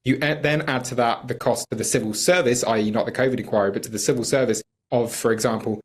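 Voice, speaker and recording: British man, political interview guest. Very quick delivery, critical and emphatic, fluent arguer. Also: mid-range voice, fairly even intonation. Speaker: campaigner, studio guest.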